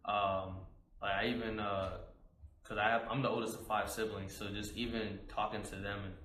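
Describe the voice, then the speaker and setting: A young man in a casual interview, talking about his poetry in a relaxed, rambling way with many fillers, his voice slightly hoarse. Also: lowish voice, moderate pace, roomy sound, slight background noise, low-quality recording. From United States. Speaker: spoken word poet guest.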